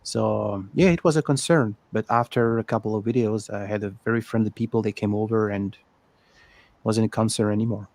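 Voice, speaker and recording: A man's voice: lowish voice, steady tone, brisk, creaky, a little mellow. A man from France with a French accent, hosting a casual panel chat over a video call and speaking candidly, restarting phrases as he searches for words. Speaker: host of the live stream.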